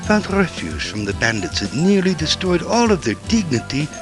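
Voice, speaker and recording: An actor playing a solemn storyteller, giving scripted cinematic narration of a heroic legend for a video game. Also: male voice, on the high side, wildly swooping pitch, moderate pace. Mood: solemn, dramatic. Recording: music behind the narration.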